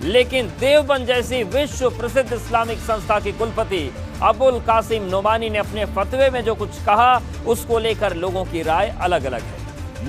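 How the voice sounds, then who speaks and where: A male news anchor presents a dramatic scripted bulletin. His voice is pitched high for a man. Music plays clearly behind him. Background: music bed.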